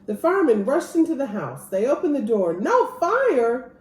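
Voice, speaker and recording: A woman reads a picture book aloud to children with very animated intonation. Her voice is fairly high and a little mellow, recorded in an echoey room. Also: brisk. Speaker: storyteller reading aloud. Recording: roomy echo, clear background noise.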